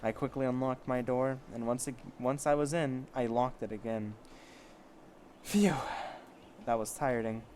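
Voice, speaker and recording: A man's voice: medium pitch, steady tone, a little slow, pauses often, darkish tone, slight background noise. A man casually reading amateur fiction aloud, with sarcastic, foul-mouthed asides. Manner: sarcastic, profane commentary. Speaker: amateur story reader.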